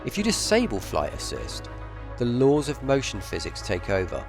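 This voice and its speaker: A man's voice: medium pitch, wildly swooping pitch, normal speed, gravelly. A man narrating a scripted game tutorial in a methodical, explanatory way. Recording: faint music behind the voice. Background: faint music.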